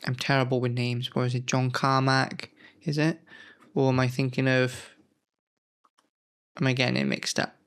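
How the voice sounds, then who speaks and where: Unwell man with a gravelly, fry-laden voice, mid-pitched, leafing through old magazines and rambling to camera with plenty of self-corrections. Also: normal speed, pauses often, a bit bright. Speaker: gaming channel host. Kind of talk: casual nostalgic commentary.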